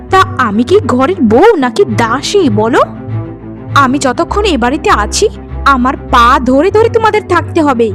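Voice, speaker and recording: A woman voicing a vain, haughty and imperious young beauty in a cartoon, with music behind her. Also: very high-pitched. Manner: imperious, scolding. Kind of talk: animated cartoon dialogue.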